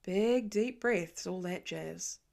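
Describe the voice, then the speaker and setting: A woman's voice: on the low side, takes it slowly, vocal fry, darkish tone. A woman voicing a confident, wisecracking grown man who talks in a dry, teasing way. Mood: wry. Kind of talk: audiobook fan reading.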